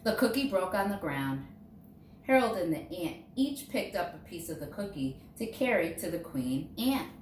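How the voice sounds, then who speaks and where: A woman reads a picture book aloud to young children in an expressive way, dramatizing key words. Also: lowish voice, moderate pace. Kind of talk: storybook read-aloud. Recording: roomy echo, distant mic, faint noise.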